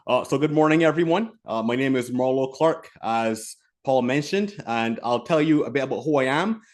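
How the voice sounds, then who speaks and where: Measured man from Barbados presenting. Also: mid-range voice, animated. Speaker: keynote speaker. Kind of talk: online presentation.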